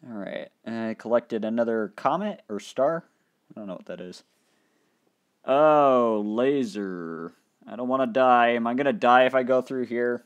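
A young man hosting a casual game commentary, thinking aloud and breaking into loud excited outbursts, his mouth dry and his voice getting tired. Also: medium pitch, a little slow, muffled recording. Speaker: gaming channel host. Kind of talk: casual let's play commentary.

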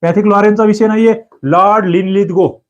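A man giving a quiz-style exam-prep lecture, firing questions and demanding quick answers from his students. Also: steady tone. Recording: muffled low-fidelity recording.

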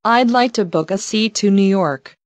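A woman reading English travel phrases one by one, slowly and carefully, for learners to repeat. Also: mid-range voice, dry recording. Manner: careful, evenly paced, each phrase read alone. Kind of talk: slow clear phrase repetition for learners.

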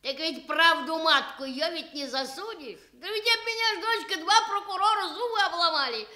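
A nosy old gossip lady, shrill n high, folksy and boastful, roomy old radio play. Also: animated, normal speed, sharp tone, full character voice. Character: brash gossipy elderly female informer, folksy and combative. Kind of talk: stage comedy acting for radio. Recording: echoey Soviet radio theatre recording.

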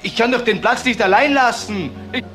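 A man playing an agitated young car salesman in a crime radio drama, excitable, indignant and colloquial. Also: extremely high pitch, moderate pace, soft background music.

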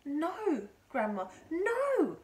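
A woman's voice: extremely high pitch, lively intonation, measured pace, voice pushed up. A woman voicing a young boy in a storybook, an alarmed grandson who calls out urgently, pleading and exasperated. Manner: urgent calling out.

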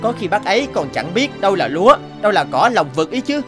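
A man's voice: high-pitched, sing-song, fast talker, fairly bright tone. A man voicing a naive young agriculture trainee in a cartoon, given to complaining. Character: eager, grumbling young agriculture student trainee. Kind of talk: dubbed cartoon dialogue. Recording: music behind the voice. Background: music.

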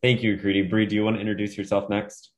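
A man moderating a panel over a video call, introducing speakers in a brisk, earnest way. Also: lowish voice, little pitch movement. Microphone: webcam video call.

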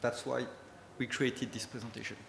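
A man's voice: mid-range voice, fairly even intonation, gravelly, fairly bright tone. Man, strong French accent, conference speaker, hesitant English, echoey hall, faint background noise. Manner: hesitant, halting English with fillers. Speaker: conference speaker, company head. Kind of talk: conference presentation. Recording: echoey hall with long reverb.

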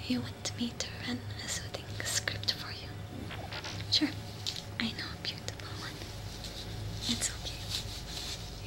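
A young woman whispering gently and soothingly in an ASMR roleplay as a repair technician, close to the mic. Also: a little high, low-quality recording. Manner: soothing, gentle, slow reassurance. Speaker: ASMR performer playing a robot repair technician.

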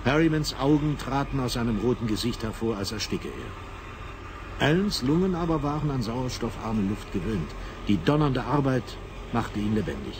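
A man's voice: mid-range voice, lively intonation, moderate pace. A man narrating a radio drama in a dramatic, scripted style. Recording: clear background machinery noise.

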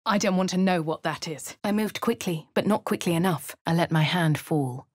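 A woman's voice: lowish voice, moderate pace, slightly rough, no room sound, clean studio sound. An actress with a posh English accent voicing a wry female fantasy adventurer in a video game, narrating and speaking in character. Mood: measured, wry.

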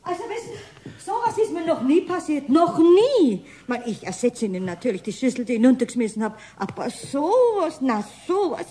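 An actress playing a chatty, clumsy widowed housekeeper with a Bavarian accent in a 1970s German children's radio play, fussy and full of exclamations. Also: female voice, high-pitched, very animated, moderate pace, mellow. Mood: flustered. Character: chatty, flustered, clumsy widowed housekeeper, middle-aged or older.

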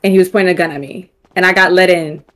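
A woman's voice: lowish voice, steady tone, fast talker, a bit bright. A young woman chatting casually in a role-play game over voice chat.

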